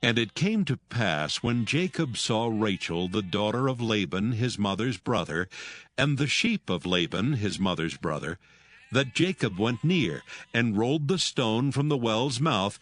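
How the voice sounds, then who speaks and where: A man narrating dramatized scripture as a solemn, measured storyteller. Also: medium pitch, a bit bright. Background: music.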